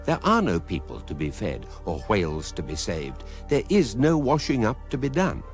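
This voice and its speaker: An actor with a British accent playing a calm, dry narrator of an electronic guidebook in a radio drama, deadpan and mock-scholarly. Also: male voice, lowish voice, normal speed, soft background music. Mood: dry, measured.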